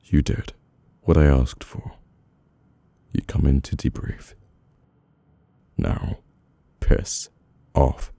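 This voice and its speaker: Very deep, gravelly British mafia boss played by a man. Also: steady tone, dark tone, dry recording, exaggerated voice. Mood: cold, dismissive. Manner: slow, halting, weary menace. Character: brooding, domineering mafia boss, bitter and burdened. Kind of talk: intimate ASMR audio roleplay.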